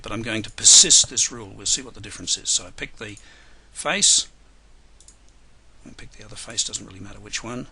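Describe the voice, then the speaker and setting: A hoarse man runs a live software webinar, working through steps with plenty of ums and restarts. His voice is gravelly and husky, yet sharp and forceful in tone. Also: medium pitch. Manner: unhurried, many fillers and restarts. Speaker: software trainer.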